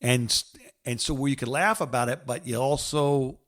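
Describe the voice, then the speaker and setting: A middle-aged American man with an average-pitched voice chats as a podcast co-host. He talks in a loose, rambling way, repeating words and leaving thoughts half finished. The style is informal, like a call with an old friend. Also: moderate pace, fairly bright tone. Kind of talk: casual phone-call chat.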